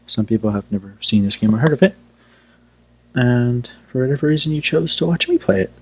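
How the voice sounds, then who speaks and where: A man's voice: medium pitch, lively intonation, a little fast, darkish tone, no echo. A man giving casual, informal game commentary with a self-deprecating manner. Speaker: video game player and host. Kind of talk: casual live play-through commentary. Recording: clearly noisy home recording with hum.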